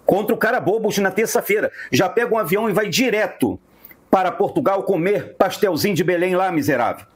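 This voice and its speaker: High-pitched, husky Brazilian man ranting. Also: animated, fairly quick. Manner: emphatic, indignant, repetitive. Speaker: football fan commentator. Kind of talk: fan rant to camera.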